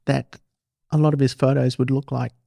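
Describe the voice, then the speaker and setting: A man's voice: medium pitch, flat delivery, normal speed, gravelly, dark tone, dry recording, studio quality. A man hosting an interview show, chatting casually and telling anecdotes as he talks with his guest. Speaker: podcast host interviewing a guest.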